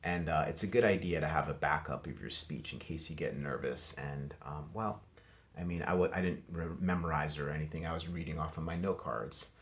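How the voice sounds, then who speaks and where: Male read-aloud teacher, very deep voice, casual and simple explanations pitched at first graders. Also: fairly quick, a bit bright. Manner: explains pictures and words simply for young children. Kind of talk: children's picture book read-aloud with casual commentary.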